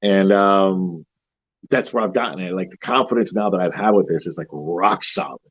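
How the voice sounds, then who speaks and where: A man with a deep voice, a horror-themed wedding officiant, chatting casually and confidently as an interview guest, with frequent restarts. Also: moderate pace, a bit bright, husky, dull audio. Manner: rambling, self-assured, many restarts.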